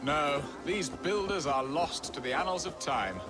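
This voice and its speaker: An actor playing a self-pitying, romantic Saxon lord in a video game, with a theatrical, wistful and dithering manner. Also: male voice, a little high, expressive, unhurried, loud music behind the voice. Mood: dreamy, grandiose. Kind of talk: video game drama acting.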